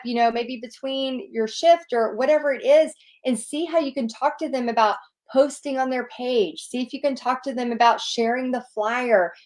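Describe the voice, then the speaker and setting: A woman's voice: sits high, darkish tone. A woman with a Southern US accent, a paint party instructor chatting casually as she answers viewer questions in an upbeat, encouraging way. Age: adult, nearly two decades of experience.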